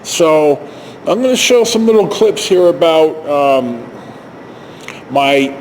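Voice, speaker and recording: An older, retired man telling stories casually to camera in a halting, rambling, self-correcting way. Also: fairly high pitch, animated, darkish tone, slight background noise, rough recording. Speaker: video storyteller.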